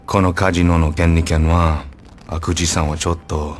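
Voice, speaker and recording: A man's voice: sits low, level tone, quick pace, noisy background, natural voice. An actor playing a grave, dutiful male gang underboss in a video game, formal and restrained. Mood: deferential.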